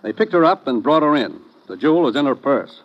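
A man playing a brisk, authoritative government commissioner in an old radio drama. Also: a little high, sing-song. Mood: stern. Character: stern government commissioner briefing his agent. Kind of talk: 1950s radio drama acting. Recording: dull old radio recording.

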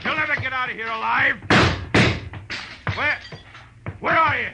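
A brutish, threatening gangland heavy with a vicious temper. It is 1940s radio crime acting, on a noisy old recording. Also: male voice, very high voice, measured pace, creaky, full character voice. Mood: enraged. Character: menacing male thug, big brute with a vicious temper.

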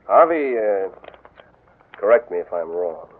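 A silky scheming gambler. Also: male voice, low voice, sing-song, unhurried, heavily acted. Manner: sly, mocking and silky. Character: smooth scheming male gambler blackmailer. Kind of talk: 1940s radio acting. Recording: tinny old radio broadcast.

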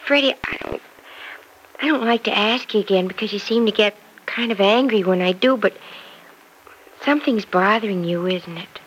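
An actress playing a sweet, trusting young wife who worries, in an old-time radio drama, gently pleading as she talks. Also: mid-range voice, normal speed, a little hiss or hum, natural voice, poor audio. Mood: worried, pleading.

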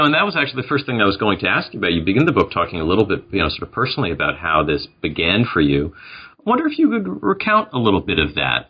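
A man's voice: sits low, husky, highs cut off. A man hosting an interview podcast, speaking in a polite, conversational way.

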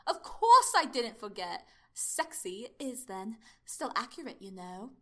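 Excitable female time traveller acted out by a woman, higher voice, affectionate, chatty, musing, audio storybook. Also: measured pace, heavily acted.

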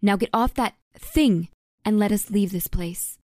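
A man's voice: high-pitched, measured pace, a little mellow, dry recording, voice pushed up. A man voicing a stern, protective old woman, a priestess who urges a frightened young man to come with her. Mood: urgent. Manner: firm, urging. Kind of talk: audiobook character voice.

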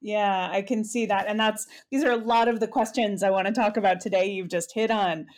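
A woman hosting a podcast interview, asking questions in a brisk, encouraging way. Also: mid-range voice, fairly even intonation, darkish tone. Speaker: podcast host.

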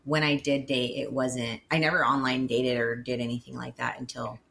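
Low-voiced woman in her forties. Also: flat delivery, moderate pace, gravelly, fairly bright tone, a little hiss or hum. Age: 40s. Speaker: podcast co-host. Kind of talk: casual chat.

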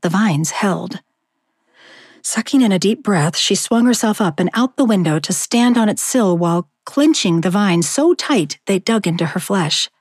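A woman reading a novel excerpt aloud in an expressive, measured narration style. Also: medium pitch, dry recording, studio quality. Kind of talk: audiobook narration.

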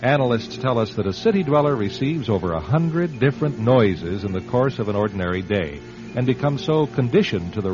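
A man hosting a classic radio drama, narrating from a script in a measured, solemn storyteller's delivery. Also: mid-range voice, darkish tone. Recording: dull old radio recording.

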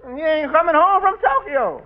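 An actor playing a dry-humored tavern waiter in a 1940s radio sitcom, with folksy ungrammatical speech and stammered repeats. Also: male voice, very high voice, animated, a little fast. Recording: tinny old radio broadcast with hiss.

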